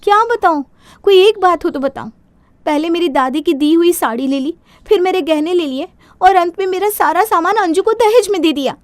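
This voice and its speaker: A woman's voice: extremely high pitch, normal speed, no echo. A woman voicing an aggrieved, stubborn young wife in a cartoon moral story, complaining sulkily. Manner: complaining, sulky.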